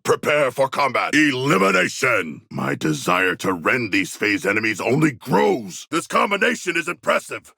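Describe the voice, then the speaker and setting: A man voicing a formal, bloodthirsty yet childlike humanoid shark warrior for a video game, with gravelly, stilted, grandiose speech. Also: medium pitch, sing-song, normal speed, few pauses, sharp tone, no room sound, clean studio sound, heavily acted. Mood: composed, commanding.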